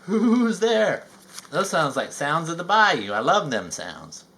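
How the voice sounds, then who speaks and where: A man reading a children's story aloud with folksy, chatty asides and drawn-out emphasis. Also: fairly high pitch, big pitch swings, bright, forceful tone. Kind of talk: animated read-aloud narration with chatty asides.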